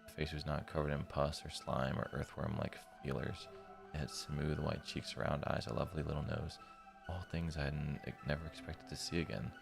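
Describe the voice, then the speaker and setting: A man reading a visual novel's inner monologue as a tormented, bitter young man, in a very deep, gravelly voice with a measured literary delivery. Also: level tone, over music, full character voice. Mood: awed. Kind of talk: read-aloud visual novel narration.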